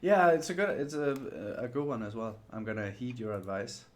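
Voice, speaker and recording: A man's voice: mid-range voice, normal speed, a little hiss or hum. A man hosting a sim racing livestream in casual, chatty talk, polite and a little self-deprecating. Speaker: livestream host and sim racer. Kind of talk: casual livestream gaming chat.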